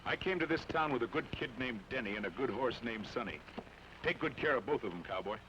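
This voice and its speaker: An actor playing a tough cowboy with a dry, laconic wit, in old radio drama style. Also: male voice, mid-range voice, expressive, fairly quick, close to an everyday voice. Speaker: cowboy prisoner. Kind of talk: 1940s radio acting. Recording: hissy old radio broadcast.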